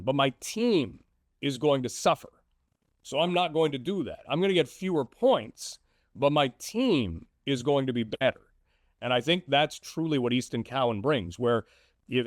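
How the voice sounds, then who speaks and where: A man's voice: fairly high pitch, dry recording, clean studio sound. A man, a sports broadcaster appearing as a guest, talks through hockey analysis conversationally, explaining with emphatic, repeated short phrases.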